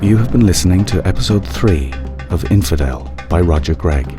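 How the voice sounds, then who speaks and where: A man's voice: deep voice, expressive, normal speed, loud music behind the voice. A man working as announcer, reading scripted closing credits for a radio drama.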